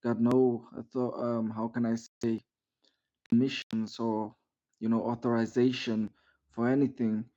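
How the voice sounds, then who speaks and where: A man's voice: mid-range voice, little pitch movement, unhurried, mellow, muffled recording. A man training a recruit in a roleplay game, giving formal, instructive feedback full of "sir" and "um". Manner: instructive, formal, ends lines with "sir", many "um"s.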